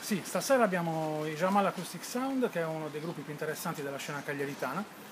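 A man's voice: a little high, fast, a little hiss or hum. A man in his fifties, an event organizer, fluently listing the day's activities in an interview in an outdoor tent. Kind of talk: interview answers.

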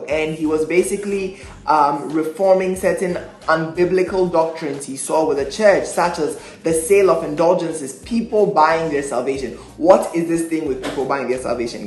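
A man's voice: a little high, reverberant. A young man hosting a church history video, speaking energetically and asking the viewer questions. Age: young adult. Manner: animated, gestures a lot, asks the viewer questions. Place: small indoor room, plain wall.

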